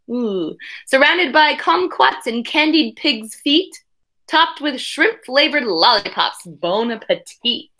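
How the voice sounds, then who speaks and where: Animated, bright-voiced woman reading to children. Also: a little high. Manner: expressive, exaggerated storytelling emphasis. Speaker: teacher reading a picture book aloud. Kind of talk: animated read-aloud for young children with casual asides. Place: small room at home, indoors.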